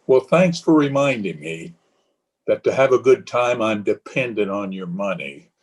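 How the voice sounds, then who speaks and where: A man's voice: on the low side, lively intonation, moderate pace, breathy edge. A man playing a bored, sarcastic, irritable husband in the manner of a 1930s radio drama. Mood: bitter sarcasm.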